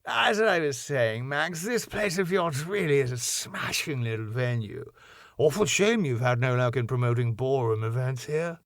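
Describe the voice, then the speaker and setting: A man voicing a smooth, middle-aged male club boss who chuckles at his own jokes. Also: mid-range voice, lively intonation, moderate pace, no room sound, clean studio sound, natural voice. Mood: smarmy. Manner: oily, laughs at own jokes.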